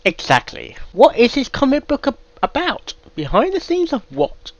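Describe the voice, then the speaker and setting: A man hosting a casual reaction video, halting and often repeating phrases. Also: very high voice, huge pitch swings, no echo, lo-fi sound.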